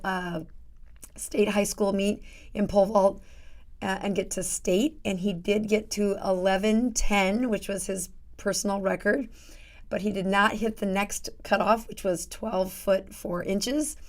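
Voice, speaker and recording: A middle-aged woman doctor with a raspy voice that is going hoarse, chatting casually as host of her live stream with many fillers. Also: medium pitch. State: losing her voice. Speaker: doctor hosting a live show.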